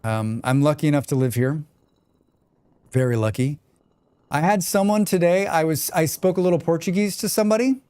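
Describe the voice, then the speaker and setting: A man's voice: a little high, normal speed, dark tone, clear, resonant tone, no room sound. A man with a plain American accent chatting casually on a live game stream, rambling and talking back to his chat. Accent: General American. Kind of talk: casual chat while gaming.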